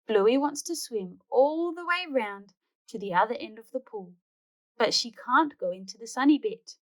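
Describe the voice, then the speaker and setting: A woman's voice: fairly high pitch, animated, normal speed, rough recording. A woman hosting a read-aloud, narrating a children's storybook expressively.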